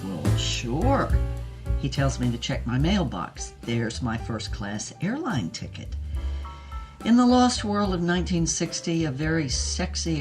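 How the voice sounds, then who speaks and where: A ninety-year-old screen siren, tells her racy stories in a frank, worldly way for an audio drama. She is sassy and takes her time, with music playing underneath. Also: very deep voice. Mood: wry, then sultry, boastful. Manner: frank, sassy, unhurried storytelling. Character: brazen, worldly old film star woman, ninety, recounting her affairs. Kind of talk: audio drama mock interview.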